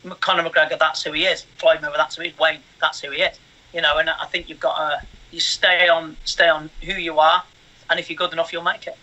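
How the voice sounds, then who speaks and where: A man's voice: on the high side, little pitch movement, fast, bright, forceful tone, low-quality recording. A man, a mind coach, giving earnest advice as an interview guest, often restarting his sentences and filling with "you know". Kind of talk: casual interview answers.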